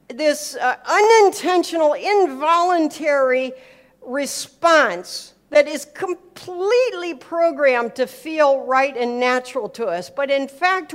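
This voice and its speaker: A woman with a somewhat high voice gives an informal talk. She tells anecdotes as a teacher would, leaning hard on key words, repeating them, and slipping into quoted dialogue to make each point. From America and Switzerland. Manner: emphatic, repeats words for effect, acts out dialogue. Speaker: speaker giving a talk on faith and business.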